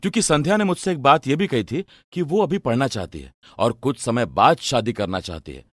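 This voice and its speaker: Dutiful young son, thoughtful and respectful, mid-range male pitch with lively movement, male actor. Animated moral story. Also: a little fast, no echo, clean studio sound, lightly acted. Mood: earnest.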